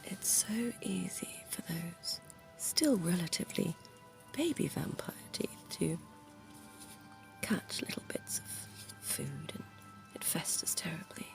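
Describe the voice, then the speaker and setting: A centuries-old vampire dentist, played by a woman, leaning close and teasing in a breathy, gravelly half-whisper. She speaks slowly and sits on the lower side of her range, with music playing softly under her. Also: expressive, full character voice. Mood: flirty. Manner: soft, teasing, intimate whispering. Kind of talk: ASMR roleplay.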